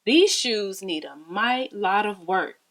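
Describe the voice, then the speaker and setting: A woman's voice: mid-range voice, expressive, slow pace, vocal fry, fairly bright tone, heavily acted. A woman voicing a kindly, generous male shoe-shop owner in a storybook, polite and folksy. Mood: kindly instructing.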